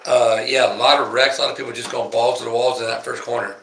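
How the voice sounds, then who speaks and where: Man, livestream co-host, laid-back, gravelly, roomy echo. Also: mid-range voice, fairly even intonation, fast, a bit bright, a little hiss or hum. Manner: laid-back, greets viewers by name. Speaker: podcast co-host. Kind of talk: casual livestream chat.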